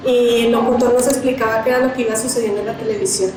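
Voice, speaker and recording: A woman's voice: on the high side, fairly even intonation, fast. A woman giving her opinion as a viewer in a recorded testimonial. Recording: very echoey, distant, noisy ambience.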